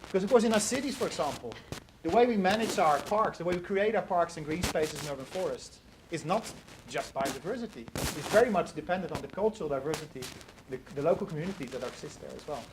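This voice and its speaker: Fast-talking man lecturing. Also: on the high side, expressive. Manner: earnest, repeats words while searching for phrasing. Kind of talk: academic lecture and Q&A answers. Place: lecture hall. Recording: noisy lecture recording.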